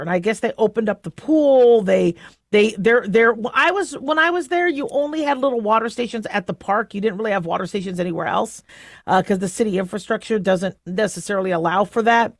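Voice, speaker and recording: Halting, conversational American woman radio host. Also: on the high side, no room sound, clean studio sound. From southwestern United States. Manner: halting, conversational, searching for words. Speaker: radio talk show host. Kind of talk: casual radio monologue, opinion and commentary.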